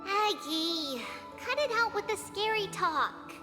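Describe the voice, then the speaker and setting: A woman voicing a gentle, childlike girl in a video game who speaks softly and earnestly with wisdom beyond her years. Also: very high voice, expressive, takes it slowly, full character voice. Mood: sleepy, gentle. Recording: long reverb, faint music behind.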